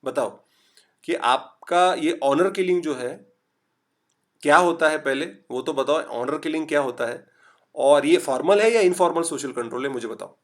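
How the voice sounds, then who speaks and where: A man from Maharashtra with a slight Marathi accent, teaching a live online class in a chatty style and mixing in English. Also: on the high side. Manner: chatty, reads out students' typed replies; urges quick answers, mixes English. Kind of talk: online lecture.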